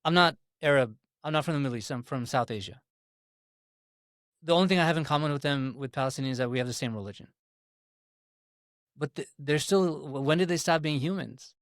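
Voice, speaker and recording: A man's voice: a little high, brisk, long pauses, dry recording, studio quality. A man from Pakistan speaking as a podcast guest, earnest and impassioned, often repeating words as he makes his point. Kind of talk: casual political discussion.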